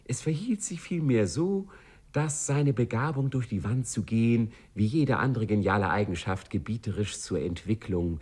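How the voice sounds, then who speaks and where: A man narrating a satirical 1950s radio play as a wry, composed storyteller who speaks deliberately to the listener. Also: lowish voice, lively intonation, darkish tone, breathy edge.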